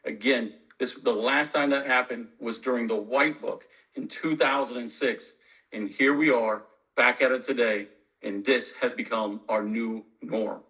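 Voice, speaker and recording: A man with a bright, hard-edged voice delivers a campaign appeal to union members. He sits at a middle pitch and speaks emphatically, with urgency, as a candidate for leadership. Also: fairly even intonation, measured pace, low-quality recording.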